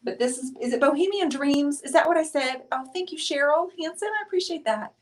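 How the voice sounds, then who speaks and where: A woman's voice: high-pitched, brisk, slight background noise. Chatty woman painting on a live stream. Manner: chatty, teasing, thinks aloud while working. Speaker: painting show host. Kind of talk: casual live-stream chat while painting. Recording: roomy sound from a distance.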